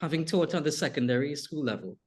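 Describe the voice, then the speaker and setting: A man with a Trinidadian accent hosting a talk show, reading a prepared opinion piece in a measured way before questioning his guests. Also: on the high side, expressive. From Trinidad and Tobago. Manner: measured, reads a prepared essay. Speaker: podcast host. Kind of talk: scripted opinion monologue, then interview.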